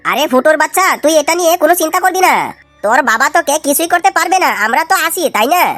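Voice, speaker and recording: Woman voicing a high, fast cartoon lad. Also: lively intonation, a bit bright, no room sound, faint music behind. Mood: coaxing. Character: squeaky cheeky young cartoon slacker lads. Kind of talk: amateur comedy cartoon dialogue.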